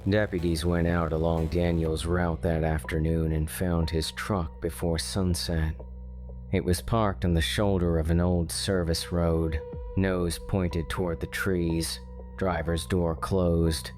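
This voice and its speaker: Very deep, slow male horror storyteller. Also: flat delivery, darkish tone, soft background music. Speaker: narrator. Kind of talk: scripted true-crime horror narration.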